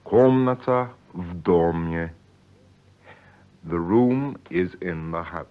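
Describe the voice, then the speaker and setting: A man in a scripted 1950s radio language lesson, speaking carefully and deliberately as the learner and co-presenter. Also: deep voice, clear, resonant tone. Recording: dull, lo-fi 1950s radio recording.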